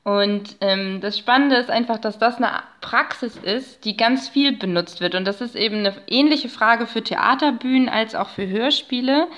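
A woman with a mid-pitched voice speaks as an expert guest in a podcast conversation. She explains in an academic but conversational way, feeling out her phrasing as she goes. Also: a bit bright. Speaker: podcast guest, narratology researcher.

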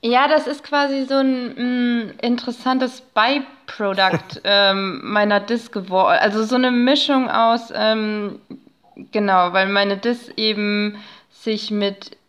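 A woman with a mid-pitched voice talks as an expert guest on a podcast. She explains things informally, in the flow of a relaxed conversation. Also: slow pace, clean tone. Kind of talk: casual interview chat, explaining.

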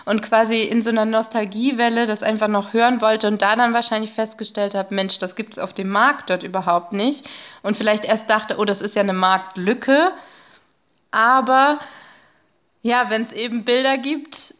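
A woman's voice: fairly high pitch, fairly even intonation, normal speed, a bit bright, highs cut off. A woman speaking as a guest expert in a casual interview, explaining things reflectively in academic wording mixed with colloquial asides.

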